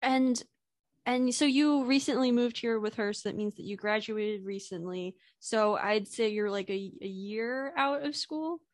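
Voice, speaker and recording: A woman hosting a podcast interview, asking chatty, halting questions and laughing along. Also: on the high side, steady tone. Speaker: podcast host.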